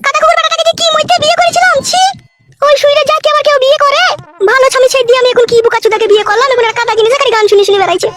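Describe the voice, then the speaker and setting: A performer voicing a sharp-tongued, scolding young village wife in a comedy cartoon, with a rural West Bengal accent. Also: very high voice, steady tone, rapid-fire. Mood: angry, grumbling. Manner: scolding, foul-mouthed.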